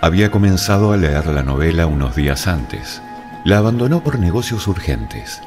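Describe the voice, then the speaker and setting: A man narrating a literary story in a dramatic, measured and deliberate way. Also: sits low, sing-song, darkish tone. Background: music.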